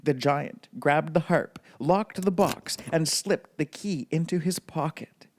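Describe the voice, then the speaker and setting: A man's voice: a little high, takes it slowly, slight background noise. A man narrating a children's bedtime story as a read-aloud storybook reader.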